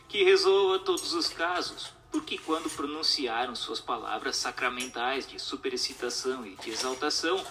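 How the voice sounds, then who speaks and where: A man's voice: a little high, sharp tone. A man with a Brazilian accent reading a formal text as scripted narration, with declamatory, emphatic phrasing.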